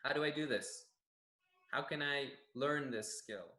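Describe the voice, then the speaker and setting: A young man from Canada speaks earnestly about his work, often restarting his sentences as he thinks. His voice is bright and forward at a middle pitch, and it rings in an echoey room. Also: unhurried. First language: Farsi. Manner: earnest, reflective, with frequent restarts. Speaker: gym general manager. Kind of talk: staff profile interview answers.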